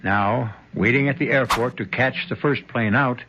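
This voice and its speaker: A man narrating a radio drama in a measured, storytelling manner. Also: medium pitch, noisy background. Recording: dull lo-fi radio recording.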